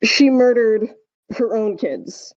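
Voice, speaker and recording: A woman co-hosting a podcast in casual chat, telling things in a rambling, halting way. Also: on the high side, animated, darkish tone, dry recording, highs cut off. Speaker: podcast co-host.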